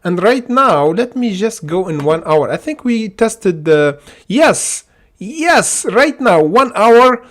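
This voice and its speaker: Excitable man. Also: high-pitched, slow pace. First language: Arabic. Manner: excitable, repeats "okay?" and many fillers. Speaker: stock trading livestream host. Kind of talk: casual livestream chart commentary.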